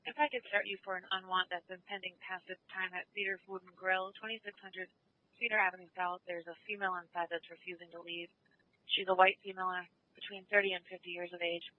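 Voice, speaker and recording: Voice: medium pitch, level tone, brisk. A police dispatcher giving procedural, matter-of-fact calls over a scanner radio. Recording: lo-fi phone-like scanner radio audio.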